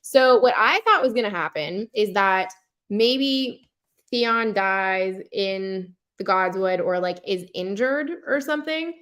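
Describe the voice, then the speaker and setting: A woman hosting a casual podcast chat, speaking in an expressive, emphatic way. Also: medium pitch, takes it slowly. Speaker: podcast host.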